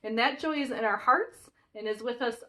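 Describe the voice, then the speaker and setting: A woman with a high voice speaks as a children's ministry presenter. She is informal and encouraging, keeping her explanations simple for young listeners. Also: normal speed, bright, forceful tone. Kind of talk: children's church message, informal address.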